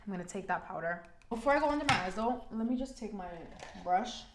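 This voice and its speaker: A woman's voice: mid-range voice, animated, fast, background noise. A young woman in her twenties chatting frankly while doing her makeup, her voice congested from a cold, with lots of "like" fillers. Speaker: beauty vlogger. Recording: echoey room.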